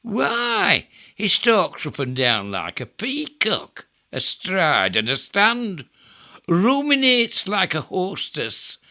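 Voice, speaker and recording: An actor playing a scurrilous, sneering Greek camp fool in a Shakespearean audio drama, with theatrical, exaggerated mockery. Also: male voice, high-pitched, huge pitch swings, slow pace, vocal fry, sharp tone, full character voice. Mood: gleeful mockery.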